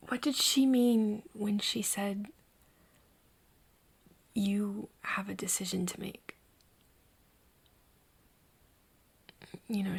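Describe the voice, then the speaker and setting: A woman playing a frightened but stubborn human confiding in a vampire friend in an intimate ASMR audio drama, with a husky, breathy voice and hesitant delivery. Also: medium pitch, full character voice. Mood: puzzled, tentative. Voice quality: breathy, husky, often dropping to a whisper. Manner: hesitant, halting, stammering over words.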